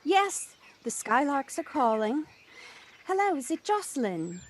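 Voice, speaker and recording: A woman's voice: high-pitched, sing-song, a little slow. A woman playing a chatty, outdoorsy village mother in an audio drama, with a welcoming, effusive manner. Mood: cheerful greeting. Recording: noisy home recording on personal device. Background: birdsong.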